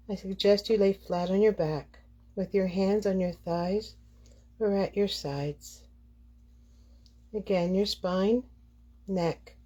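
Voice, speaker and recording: Female meditation guide, lower register, very soft-edged dark tone, measured phrasing with pauses. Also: husky.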